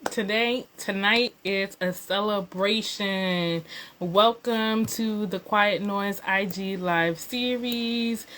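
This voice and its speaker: A woman's voice: mid-range voice, slow pace, a bit bright. A woman hosting a live-stream series, upbeat and announcer-like, laughing easily with her guest. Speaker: host. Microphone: phone on a live stream.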